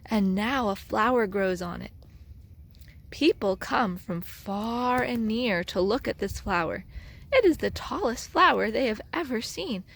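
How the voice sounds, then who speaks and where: A woman reading a children's picture book aloud as a storyteller, in an expressive, deliberate way with dramatic emphasis. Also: fairly high pitch, creaky. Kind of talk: children's read-aloud narration.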